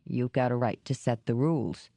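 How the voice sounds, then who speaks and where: A woman reading a low-voiced, remorseful male ex-cop. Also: moderate pace, gravelly, dark tone, dry recording, clean studio sound. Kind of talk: audiobook character reading.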